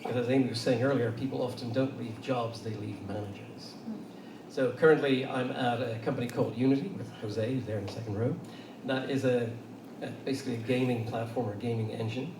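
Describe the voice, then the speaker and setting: A man's voice: mid-range voice, fairly even intonation, fairly quick. A middle-aged man with an Irish accent, speaking to an audience in a loose, anecdotal way with many fillers. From Ireland. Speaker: panel speaker. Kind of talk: conversational talk to an audience. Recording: roomy far-off hall recording with noise.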